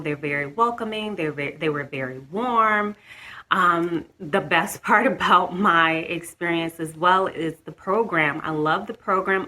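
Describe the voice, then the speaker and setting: A woman's voice: lowish voice, sharp tone. A young American woman talking casually to the camera, chatty, with frequent restarts and light laughs. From United States. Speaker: vlogger.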